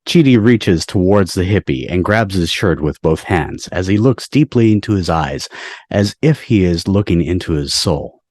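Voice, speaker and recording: A man's voice: deep voice, expressive, moderate pace, darkish tone, dry recording, studio quality. A man on a video call reading stage directions as narrator of an amateur table read.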